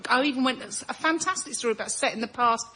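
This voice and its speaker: A woman's voice: high-pitched, quick pace, sharp tone. A woman telling a comic idea as a panellist, rambling and laughing as she talks. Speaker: comedy panellist. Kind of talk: conversational comic storytelling. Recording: audience and faint noise behind. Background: studio audience.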